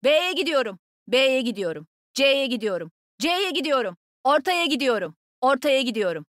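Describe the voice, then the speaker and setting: Casting: nimble young female game agent. Bright-spirited taunter, very animated delivery, sassy and sure of herself, dry close studio sound. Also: sits high, moderate pace, no room sound. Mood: brisk, alert. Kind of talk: video game combat voicelines.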